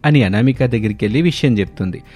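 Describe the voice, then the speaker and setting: A man's voice: medium pitch, animated, fast talker, a little mellow. A man reading a scripted children's story as its narrator. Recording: music under the voice. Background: background music.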